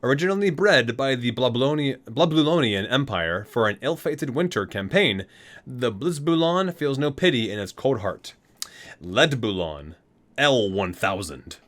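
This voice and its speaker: A man's voice: medium pitch, expressive, unhurried, a bit bright. A man reading aloud in an ASMR style, stumbling over words and correcting himself between casual asides. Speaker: ASMR video host.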